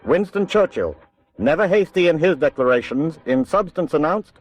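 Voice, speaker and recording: An actor playing a precise, authoritative announcer in a wartime documentary radio drama. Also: male voice, on the high side, expressive, normal speed, faint music behind. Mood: measured, dry. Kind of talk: formal documentary radio narration.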